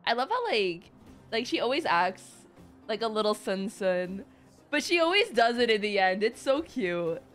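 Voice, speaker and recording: A woman's voice: mid-range voice, very animated, moderate pace, a bit bright, faint music behind. A young woman giving casual, playful, reactive commentary as she streams a video game.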